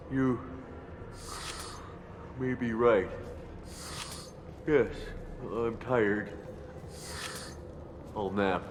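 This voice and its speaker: A man's voice: lowish voice, noisy background. An actor playing a drugged, delusional little alien merchant in a video game, rambling bombastically about his godlike power. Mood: drowsy, deflated. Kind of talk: video game character acting.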